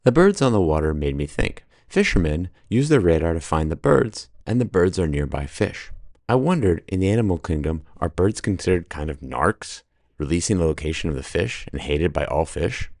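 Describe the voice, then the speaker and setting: A man narrating his solo sailing trip in a dry, deadpan voice-over with wry humor. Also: low-pitched, moderate pace, no echo. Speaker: solo sailor vlogger.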